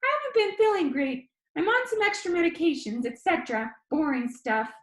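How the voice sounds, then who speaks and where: A woman voicing a cheerful, cocky sixteen-year-old boy video host, with a high squeaky voice and quick, playful, heavily inflected delivery. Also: expressive, roomy sound. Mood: breezy. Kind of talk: online video host patter within audiobook.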